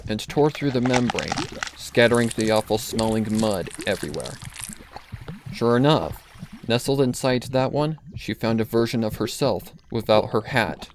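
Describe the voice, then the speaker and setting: A man's voice: medium pitch, normal speed. Male story narrator, faint background noise. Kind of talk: scripted narration.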